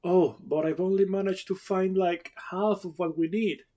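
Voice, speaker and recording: A man's voice: high voice, steady tone, normal speed, warm tone, pitched above the performer's own voice, close to an everyday voice. A man voicing an eager young woman forager in a dramatic story reading, complaining and pleading. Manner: whiny, pleading.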